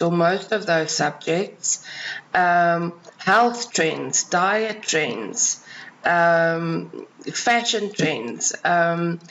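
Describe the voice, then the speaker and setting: Slow, measured South African woman. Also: lowish voice, highs cut off. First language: Afrikaans. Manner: measured, many ums and restarts. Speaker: freelance writer and editor. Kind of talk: self-introduction to clients.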